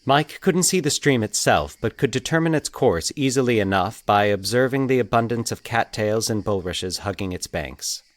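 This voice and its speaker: Low-voiced man narrating a story. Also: lively intonation, normal speed, few pauses, no room sound. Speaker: narrator of a fiction podcast.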